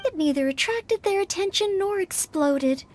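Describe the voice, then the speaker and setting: A woman's voice: very high-pitched, animated, normal speed, darkish tone, a little hiss or hum. A woman voicing a polite, earnest, self-doubting teenage maid-knight girl in a video game, speaking formally with some hesitation. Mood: downcast, self-doubting. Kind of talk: English video game voice acting.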